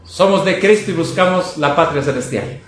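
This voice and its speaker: A man's voice: a little high, normal speed. A man preaching to a congregation, exhorting with rhetorical questions, reading quotations and commenting on them. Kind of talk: fervent sermon to a congregation, reading quotes and commenting. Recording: echoey room, noisy background.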